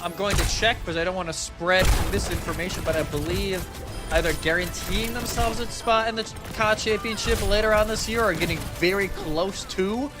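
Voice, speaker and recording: Casting: male esports commentator, slightly high voice, conversational match analysis with light music underneath.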